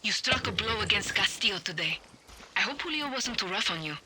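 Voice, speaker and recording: Woman as a composed rebel leader, loud noise behind. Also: mid-range voice, lively intonation, brisk, close to an everyday voice. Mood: earnest, persuasive. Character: composed female rebel leader, earnest and persuasive. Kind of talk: video game voice acting.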